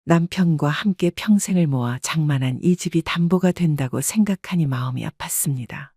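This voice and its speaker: A woman narrating a story in the first person, calm and measured. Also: low voice, slightly rough, no echo, studio quality.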